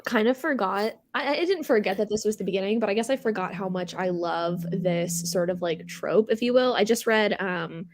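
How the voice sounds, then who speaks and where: A woman's voice: medium pitch, moderate pace, hardly any pauses, slight background noise. A woman co-hosting a book chat, speaking informally and candidly in a reflective, conversational way. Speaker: co-host of a book readalong.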